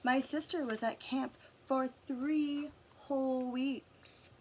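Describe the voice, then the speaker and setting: Expressive woman reading a storybook, higher voice. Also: slight background noise, low-quality recording. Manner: expressive storytelling with dramatic emphasis and drawn-out words. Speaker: adult reading a picture book aloud to children. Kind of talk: storybook read-aloud with asides and questions to listeners.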